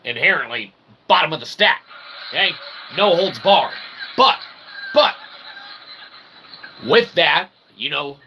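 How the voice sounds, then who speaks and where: A man with a slightly high voice full of gravelly creak rambles casually to his audience. He is folksy and self-deprecating, often checking in with little tag questions. The recording has clear background noise. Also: very animated, slow, pauses often, bright, forceful tone, poor audio. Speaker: host of a web show.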